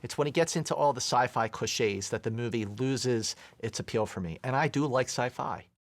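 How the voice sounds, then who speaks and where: Man, medium pitch, movie review panelist, opinionated, emphatic, conversational. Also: normal speed.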